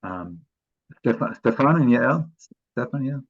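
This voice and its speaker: A man's voice: mid-range voice, expressive, unhurried, breathy edge, highs cut off. A man moderating an online panel, speaking thoughtfully and trailing off between phrases.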